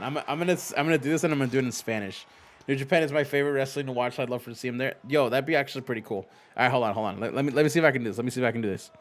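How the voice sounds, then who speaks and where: Chatty young man streaming a game. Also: medium pitch. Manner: chatty, slangy, trails off into quick restarts. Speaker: streamer host. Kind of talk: casual game-stream commentary.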